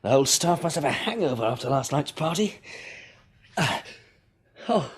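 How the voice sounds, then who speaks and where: An actor playing an agitated British hospital patient, speaking hesitantly and with exclamations in a radio drama. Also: male voice, a little high, sing-song, moderate pace, slightly rough. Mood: nervous. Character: agitated male hospital patient with bandaged eyes.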